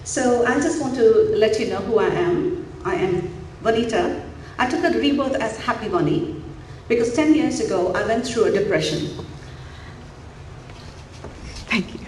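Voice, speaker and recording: French: une femme coach en pleine salle. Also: female voice, a little high, slight background noise. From India. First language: an Indian language. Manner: warm encouraging, asks the audience questions. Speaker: mindfulness coach. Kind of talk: interactive talk to parents. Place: school auditorium. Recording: echoey hall, faint room ambience.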